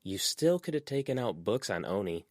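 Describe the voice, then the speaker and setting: A man's voice: on the low side, sing-song, normal speed, a little mellow, dry recording, studio quality, voice pushed up. A man voicing a sensible, mild-mannered teenage girl. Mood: reasoning.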